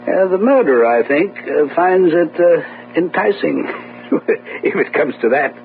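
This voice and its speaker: An actor playing a shrewd, urbane detective in a radio drama, speaking formally and deliberately with thoughtful hesitations. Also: male voice, fairly high pitch, expressive, vocal fry. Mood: sly, suave. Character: shrewd, commanding gentleman detective, urbane and self-assured. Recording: muffled phone-like old radio audio, faint noise.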